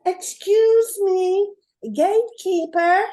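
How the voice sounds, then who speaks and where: A woman's voice: very high-pitched, extremely slow, warm tone, exaggerated voice. A woman playing a polite, helpful storybook girl in an amateur radio play, with a reassuring manner.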